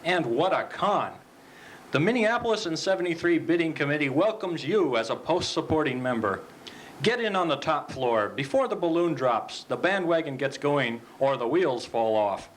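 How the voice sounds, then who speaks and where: A man playing a folksy old-time pitchman, delivering a parody commercial with chummy salesman patter. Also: lively intonation, moderate pace, lightly acted, low-quality recording. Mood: chummy, coaxing. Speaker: advertisement spokesman. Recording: faint noise, amateur 1970s recording.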